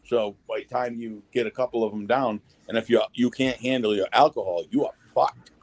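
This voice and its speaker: A man's voice: mid-range voice, steady tone, brisk. A man talking crudely and rambling on a casual panel.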